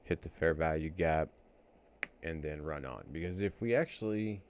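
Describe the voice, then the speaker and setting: Deep gravelly-voiced man musing over charts. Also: moderate pace, a little mellow. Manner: rambling, self-correcting, musing aloud. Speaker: trading educator. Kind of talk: casual think-aloud chart walkthrough.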